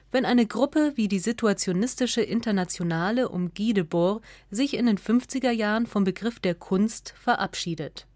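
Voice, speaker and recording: A woman narrates a scripted essay for radio in a medium-pitched voice. Her reading is measured and articulate, recorded in a very dry studio with little room sound. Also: a little mellow, no echo.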